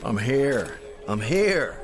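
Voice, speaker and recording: A spoiled, self-important TV western star in a radio drama. His delivery is whiny and petulant, with lively swings in pitch. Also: extremely slow, music in the background. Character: vain, petulant male TV cowboy star.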